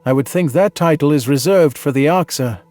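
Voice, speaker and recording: A man reading a dramatized science fiction story in the first person, earnest and measured with a dramatic delivery. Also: on the high side, darkish tone, faint music behind. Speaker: audiobook narrator.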